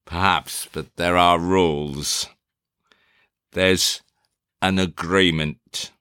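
A man playing a cool, probing interrogator in a theatrical drama, questioning in a formal, deliberate, insinuating way. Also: low-pitched, fairly even intonation, bright, forceful tone, clear, resonant tone, dry recording, studio quality, exaggerated voice. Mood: coolly coaxing.

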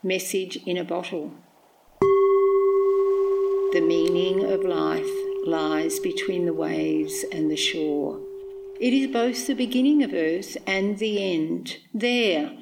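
A middle-aged Australian woman, a poet, reading and reflecting on her work in measured, deliberate phrases. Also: medium pitch, vocal fry, darkish tone, a little hiss or hum, poor audio.

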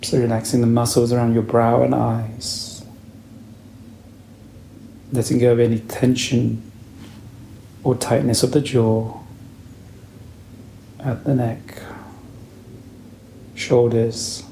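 A man leading a guided meditation as a teacher, calm, unhurried and soothing in his instruction. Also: medium pitch, vocal fry, roomy sound, a little hiss or hum, rough recording.

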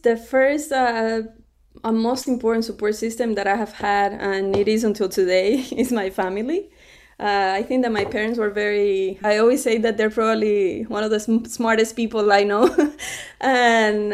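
A woman's voice: fairly high pitch, darkish tone. A middle-aged woman from Cuba, a podcast guest telling her story in a conversational interview, laughing often and restarting her sentences.

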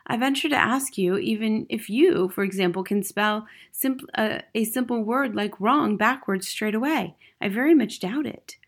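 A woman voicing a gentle female schoolteacher in a storybook, calm, polite and measured. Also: fairly high pitch, voice pushed up. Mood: politely defiant. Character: gentle, reasonable young female primary school teacher.

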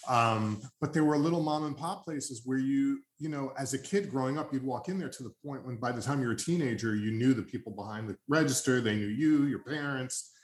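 A man's voice: medium pitch, fairly quick, hardly any pauses. Older long island guy hosting a chat, earnest, rambles and corrects himself, echoey room. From Long Island, New York. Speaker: podcast host. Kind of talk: casual interview chat.

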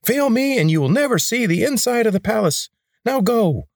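High grating tyrant, ruthless and commanding, audiobook villain. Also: male voice, lively intonation, normal speed, dry recording, clean studio sound, full character voice. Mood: menacing. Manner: commanding, menacing. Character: high grating rebel leader, male, ruthless.

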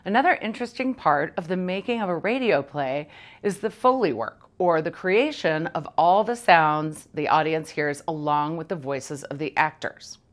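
A woman presenting a local news segment in a scripted, measured read. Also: deep voice.

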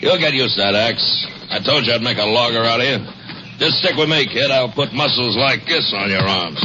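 A man's voice: medium pitch, moderate pace, close to an everyday voice. An actor playing a tough, bullying logging foreman with folksy, slangy speech in a 1950s western radio drama. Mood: hearty, bossy. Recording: noisy, muffled 1950s radio recording.

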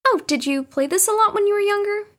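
A woman's voice: very high voice, lively intonation, fast talker, a bit bright. A woman playing a prim, inquisitive overseer in an audio drama. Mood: sweetly curious.

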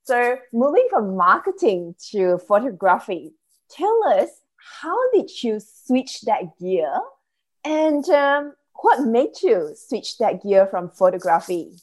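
A woman's voice: a little high, very animated, takes it slowly. A woman hosting a business podcast, speaking in an enthusiastic, effusive manner as she presents and chats. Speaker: podcast host, business coach. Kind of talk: podcast hosting, introducing a guest.

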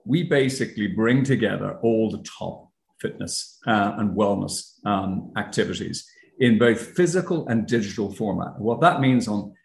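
A man's voice: fairly low pitch, lively intonation, normal speed, creaky. An Irish man, a company founder, explaining his business in a conversational interview with frequent ums and restarts. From Ireland. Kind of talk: casual interview talk. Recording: roomy echo.

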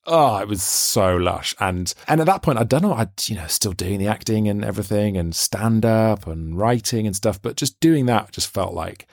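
A man's voice: on the low side, lively intonation, moderate pace, hardly any pauses, dry recording, studio quality. A Welsh man, a radio presenter and comedian, chatting enthusiastically in an interview with lots of restarts. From Wales. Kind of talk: casual interview chat.

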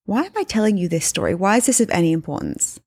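A woman's voice: fairly low pitch, huge pitch swings, fast talker, gravelly, darkish tone, husky, dry recording, clean studio sound. A woman in her thirties from England, whose accent mixes English and Australian, tells a story in a chatty podcast monologue and addresses listeners as her friends. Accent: English and Australian mix. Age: 30s. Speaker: podcast host and life coach.

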